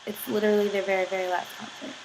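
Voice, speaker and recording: Chatty young woman with a sick, husky voice. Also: medium pitch, little pitch movement, very fast, background noise. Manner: chatty, filler-heavy, giggly, says "like" constantly. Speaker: vlogger. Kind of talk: rambling casual vlog rant.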